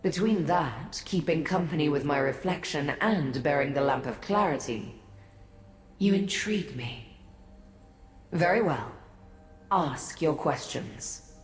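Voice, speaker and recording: An actress playing an ancient, aloof godlike being in a fantasy game, speaking formally and with haughty, cryptic condescension. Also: female voice, low voice, animated, soft background music. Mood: aloof, intrigued. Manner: measured, formal, condescending to mortals. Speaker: video game character. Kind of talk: scripted fantasy game dialogue. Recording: echoey, long reverb.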